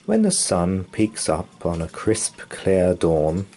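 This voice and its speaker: Slow, low-voiced man reading a bedtime story. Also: wildly swooping pitch, mellow. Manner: slow, sing-song storytelling. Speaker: story reader. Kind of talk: bedtime story read-aloud.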